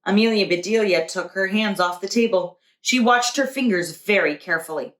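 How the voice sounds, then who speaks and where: A woman's voice: medium pitch, moderate pace, a bit bright. A woman, a preschool teacher, reading a children's storybook aloud. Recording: echoey room.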